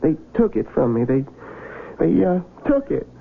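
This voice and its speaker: Gravelly, stammering young soldier. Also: male voice, a little high, sing-song, moderate pace, exaggerated voice. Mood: pleading. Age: young adult. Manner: hesitant, stammering, confused. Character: dazed young wounded soldier who lost his memory. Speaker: narrator of his own story. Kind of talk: 1970s radio drama acting. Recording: tinny old radio broadcast with loud hiss.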